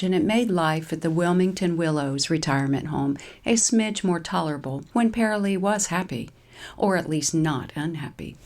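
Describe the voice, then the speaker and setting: A woman's voice: low-pitched, darkish tone. A woman reading a scripted humorous short story as narrator.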